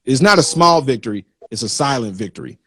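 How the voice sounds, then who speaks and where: A man hosting a casual interview, talking informally with slang in a halting way and often checking that he is followed. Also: mid-range voice, lively intonation, crowd noise. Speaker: interviewer, magazine host.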